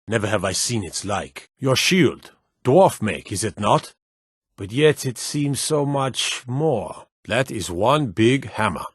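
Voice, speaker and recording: An actor playing a blunt, suspicious city guard in a fantasy video game, with folksy, old-fashioned turns of phrase. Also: male voice, medium pitch, expressive, takes it slowly, husky, dry recording, clean studio sound. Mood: alarmed, awed. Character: gruff, wary male city guard in a fantasy realm. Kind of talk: video game character barks.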